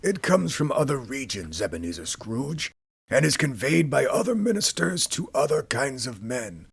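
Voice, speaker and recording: A man voicing a doomed old male ghost, speaking in a slow, drawn-out, mournful way in a storybook reading. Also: medium pitch, big pitch swings, gravelly, slightly rough, no echo, voice pushed up, heavily acted. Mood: solemn. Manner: slow, wailing, drawn-out delivery.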